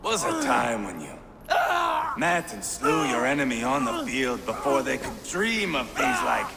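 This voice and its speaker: A gravelly Viking warlord for a game cutscene, sneers with sadistic menace. His pitch lurches dramatically, and the voice rings in a long reverb over noise. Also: huge pitch swings, a little slow, full character voice. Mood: gleefully cruel. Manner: taunting, menacing, theatrical. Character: savage, foul-mouthed sadistic Viking warlord brother. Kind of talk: video game cutscene acting.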